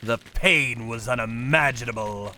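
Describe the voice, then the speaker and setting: A man voicing a grim, vengeful torturer who narrates his work in a manga dub with deliberate menace. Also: medium pitch, pushed and bright, a little hiss or hum. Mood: cold menace. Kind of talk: dramatic first-person manga dub narration.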